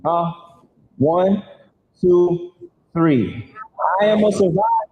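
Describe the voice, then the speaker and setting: A man's voice: slow, highs cut off. A man hosting a live community event in a motivational style, encouraging and directing the people listening. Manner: encouraging, directive, rallies audience to respond. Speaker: event host. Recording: live recording with faint rumbling noise.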